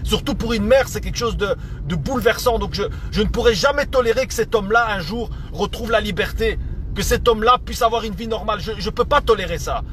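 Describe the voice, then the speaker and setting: Belgian man, 30s, vlogger; higher voice, repeats himself, stumbles through an earnest rant. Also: fairly quick, fairly bright tone, a little hiss or hum. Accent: Belgian French. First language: Italian heritage not stated as first language. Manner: earnest, stammers and repeats words, leans on fillers. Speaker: vlogger addressing viewers. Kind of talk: casual opinion rant to camera.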